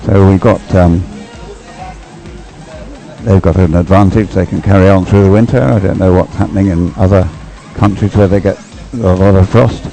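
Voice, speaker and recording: Deep-voiced man rambling through live sports commentary. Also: steady tone, moderate pace, creaky, dark tone, soft background music, rough recording. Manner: rambling, hesitant, thinking aloud. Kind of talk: live dragon boat race commentary, unscripted.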